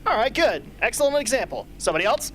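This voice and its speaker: A woman playing a brisk, sardonic rebel strategist in an amateur radio drama, speaking emphatically. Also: on the high side, big pitch swings, fairly bright tone, slight background noise. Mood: brisk, commanding.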